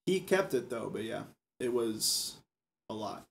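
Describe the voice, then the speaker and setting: A man chatting casually on a podcast, halting and repeating words as he builds his point. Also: on the low side, big pitch swings, creaky, a little hiss or hum. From United States. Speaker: podcast speaker. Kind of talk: casual chat.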